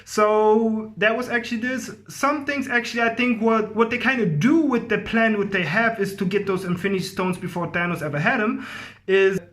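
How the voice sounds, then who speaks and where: A man's voice: high voice, moderate pace, fairly bright tone. A man giving a casual, effusive movie review to viewers, rambling and often repeating himself. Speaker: film review host.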